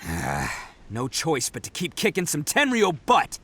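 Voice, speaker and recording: A cocky young oni gang boss in a game, brash and big-hearted. His delivery swings widely in pitch and swaggers with slangy boasts. There is clear ambient noise behind him. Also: wildly swooping pitch, unhurried, a bit bright, heavily acted. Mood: weary bravado. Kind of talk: animated video game voice acting.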